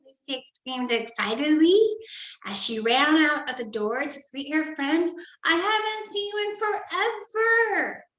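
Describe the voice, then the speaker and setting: A woman teacher reading a storybook aloud to first graders in a sing-song, animated way, often dropping to soft whispered asides. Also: sits high, unhurried, slight background noise. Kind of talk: storybook read-aloud lesson for young children. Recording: echoey room.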